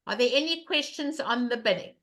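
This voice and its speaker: An older woman leads an online bridge lesson with a loud voice. Her tone sits high and bright, and she hammers home points and repeats her questions to the class. She is heard through a webcam at home. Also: expressive, fairly quick. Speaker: bridge teacher.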